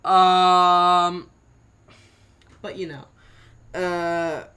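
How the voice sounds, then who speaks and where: A woman's voice: fairly low pitch, flat delivery, extremely slow, pushed and bright, clear, resonant tone. A woman hosting her channel, chatting casually through scrapped voice lines, rambling with slang exclamations. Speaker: channel host.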